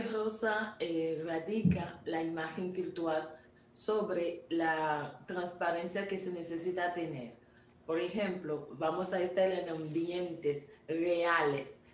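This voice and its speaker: A woman's voice: fairly low pitch, a little mellow, slight background noise. Distant echoey woman on a video call. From Haiti. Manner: earnest, explanatory, with small restarts. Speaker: image consultant guest. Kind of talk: remote interview over video call. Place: home studio room indoors. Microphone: video call from a laptop camera.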